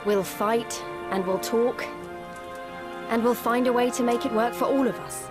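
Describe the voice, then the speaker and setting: A woman's voice: on the high side, steady tone, measured pace, music in the background, natural voice. A woman voicing an earnest, spirited young resistance fighter in a video game cutscene. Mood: resolute.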